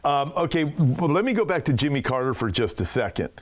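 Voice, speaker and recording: A man's voice: on the high side, big pitch swings, fairly quick. Earnest man hosting a conversation. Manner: earnest, rambling questions with fillers. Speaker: pastor and show host. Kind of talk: interview conversation.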